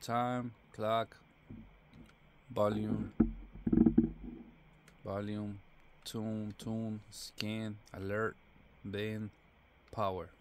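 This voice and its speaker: Man, slightly deep voice, casual unboxing commentary, short halting phrases, thinking aloud, background noise. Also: creaky. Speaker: product reviewer.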